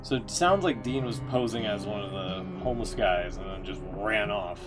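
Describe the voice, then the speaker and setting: A man with a fairly low voice gives casual stream commentary. He rambles in a halting, wry way, with guitar music clearly playing behind him. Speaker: game streamer.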